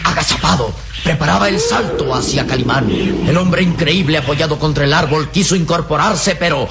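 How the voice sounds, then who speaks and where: A man's voice: a little high, moderate pace, creaky. A man narrating a radio serial in a suspenseful, declamatory style. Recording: radio drama with loud music behind.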